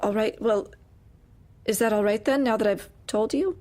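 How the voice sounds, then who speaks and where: A woman playing an uneasy, guarded office employee under questioning, terse and hesitant, in a dark comedy audio drama. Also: fairly high pitch, normal speed, lightly acted. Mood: guarded, patient.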